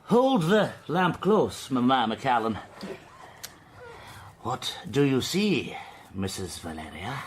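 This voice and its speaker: Theatrical, volatile eccentric gentleman, wildly swooping voice. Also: mid-range voice, sing-song, takes it slowly, pauses often, a little hiss or hum. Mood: brooding, courtly. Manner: theatrical, florid, swinging between outbursts and hushed brooding. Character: eccentric, melodramatic, self-pitying legless gentleman, volatile. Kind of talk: radio drama acting.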